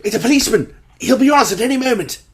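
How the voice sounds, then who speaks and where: Loyal, earnest sidekick with swooping, expressive speech man acting. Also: extremely high pitch, very fast. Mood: alarmed. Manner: polite, formal, expressive. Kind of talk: audio drama acting and narration.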